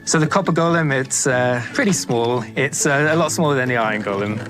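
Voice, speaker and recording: A man talking as a game developer in an interview clip, relaxed and enthusiastic, pausing with "uh". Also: medium pitch. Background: clear music.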